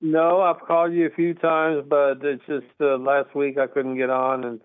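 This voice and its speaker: A man's voice: a little high, flat delivery, normal speed, dry recording. Earnest middle-aged man on a tinny phone line. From Texas. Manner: earnest, emphatic and rambling. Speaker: caller. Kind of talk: call-in radio conversation. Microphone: telephone.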